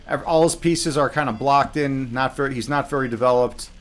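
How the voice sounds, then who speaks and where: A man's voice: medium pitch, a little fast. Man thinking aloud over music. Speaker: live chess streamer. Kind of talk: casual live game commentary, thinking aloud.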